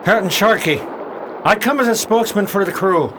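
A man's voice: animated, fairly quick. A man playing an aggrieved pirate crewman who speaks up for mutineers in a radio play, with declamatory old-fashioned phrasing. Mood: defiant. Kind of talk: old-time radio theater acting. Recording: noisy recording with ambient rumble.

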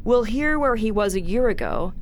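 An actress playing a tough, seasoned starship captain with a blunt, theatrical way of talking in a science fiction audio drama. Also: female voice, fairly high pitch, lively intonation, normal speed, a little hiss or hum. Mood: stern, cautioning.

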